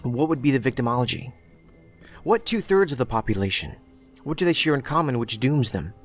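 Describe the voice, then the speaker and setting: An actor playing an analytical investigator in an audio drama, dry, precise and inquisitive. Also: male voice, mid-range voice, lively intonation, fairly quick, soft background music, natural voice. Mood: dry, probing. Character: male investigator, analytical, dry, probing skeptic.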